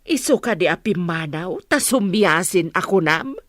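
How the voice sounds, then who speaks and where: A woman's voice: lowish voice, expressive, unhurried, dry recording. A woman playing a nosy, meddling mother. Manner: nosy, insistent prying. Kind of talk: audio drama acting.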